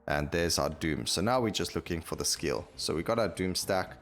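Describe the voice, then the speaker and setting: A man with a low-pitched voice gives casual gameplay commentary over music. He thinks aloud, talking through each choice as he plays. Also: animated, moderate pace, fairly bright tone. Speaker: gaming YouTuber. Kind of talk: casual video game commentary.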